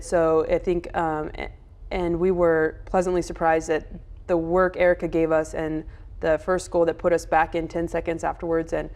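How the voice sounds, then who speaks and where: A woman with an American accent and a fairly low voice speaks as a college soccer coach. She is answering an interviewer's questions in a sports recap. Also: darkish tone.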